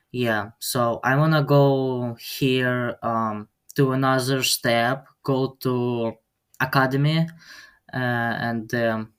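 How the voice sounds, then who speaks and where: A teenage male interview guest with a Ukrainian accent, raised speaking Russian and Ukrainian. His voice is clear and smooth at a middling pitch. He talks slowly and haltingly, searching for words in broken English. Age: teens. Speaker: guest, high school soccer player. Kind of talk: interview answers.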